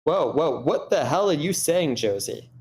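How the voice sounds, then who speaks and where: A man's voice: fairly high pitch, very animated, a little slow, a little mellow. A man playing an earnest, placating husband in a screenplay table read. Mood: defensive. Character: earnest, placating husband hiking with his wife. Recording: background noise on a live meeting.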